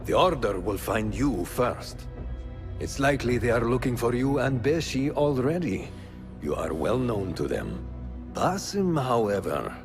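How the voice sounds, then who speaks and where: Male spymaster, authoritative, cautious, grave, expressive mid-pitched voice, game music behind. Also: unhurried. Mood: grave, cautioning. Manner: grave, advising. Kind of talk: dramatic video game acting.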